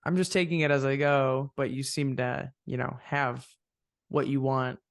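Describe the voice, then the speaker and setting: A man's voice: medium pitch, level tone, moderate pace, gravelly, no room sound, clean studio sound. A man hosting a casual music interview podcast, talking reflectively and rambling through long thoughts. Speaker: podcast host.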